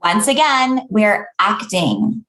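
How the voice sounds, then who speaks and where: A woman plays an ambitious young actress elf in a comedy read. She is blunt and dry, with a medium-pitched voice. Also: very animated, takes it slowly, fairly bright tone. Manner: dry, dismissive.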